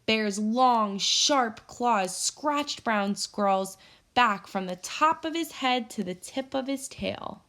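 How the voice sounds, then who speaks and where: A woman's voice: a little high, animated, slow pace, pushed and bright. Woman reading a children's story aloud. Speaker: teacher reading aloud to pupils.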